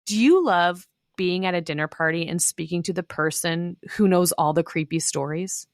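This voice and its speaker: Female podcast co-host, lowish register, scripted ad read, dry studio sound. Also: normal speed, no room sound.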